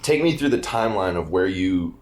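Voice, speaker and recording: A man's voice: low-pitched, expressive, brisk, slight background noise. A man guesting on a casual podcast in a house, joking, swearing and laughing often. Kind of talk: casual chat. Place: grandparents' house, indoors. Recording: echoey room.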